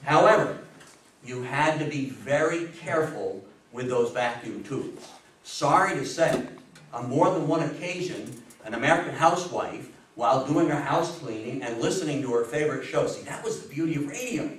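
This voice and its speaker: A man in his sixties giving a public history talk, speaking in an emphatic, deliberate storytelling way with rhetorical questions. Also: medium pitch, expressive, a bit bright. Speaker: guest lecturer on history. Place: indoor meeting room with seated audience. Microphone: distant camera microphone. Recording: echoey room, distant mic, faint noise.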